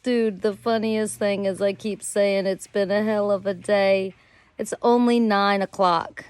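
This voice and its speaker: An American woman telling rambling personal stories casually as a host, in a drawn-out way with some swearing. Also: mid-range voice, steady tone, clean tone, no room sound. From United States. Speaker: host of a personal storytelling show.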